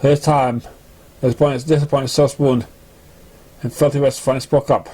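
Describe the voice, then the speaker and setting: A man reading a story aloud in an amateur way, with a halting, stumbling delivery. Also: medium pitch, fairly even intonation, dark tone, husky. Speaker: fan fiction reader. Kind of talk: amateur story reading with put-on character voices.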